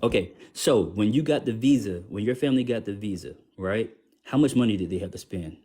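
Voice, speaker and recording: Male panelist, husky voice, relentless questioner. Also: lowish voice, fairly quick, dark tone. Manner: pressing, repeats his point, asks pointed questions. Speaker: guest panelist. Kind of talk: heated debate.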